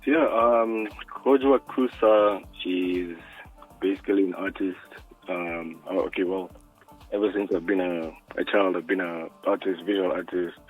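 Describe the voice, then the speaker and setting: A man who is a music producer, talking by phone in an interview, hesitant and full of "um"s and quick to laugh. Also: low voice, fairly even intonation. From South Africa. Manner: hesitant, many "um"s, laughs easily. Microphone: phone line. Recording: tinny dry phone line.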